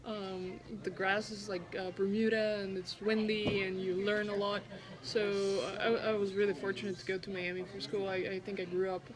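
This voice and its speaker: Young woman from Ecuador, halting answers. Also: mid-range voice, flat delivery, crowd noise, low-quality recording. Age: 20s. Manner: hesitant, many fillers, laughs often. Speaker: professional golfer, guest. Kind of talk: casual interview answers.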